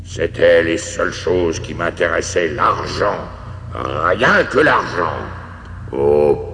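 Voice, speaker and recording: A doomed male ghost from a storybook, acted by a man, solemn and ominous. The low voice crackles with gravel and stretches out each word, swinging widely in pitch. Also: expressive, pushed and bright, roomy sound, in a large hall, heavily acted. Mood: anguished. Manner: slow, solemn, ominous delivery. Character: doomed male ghost warning an old partner. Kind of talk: storybook dramatization.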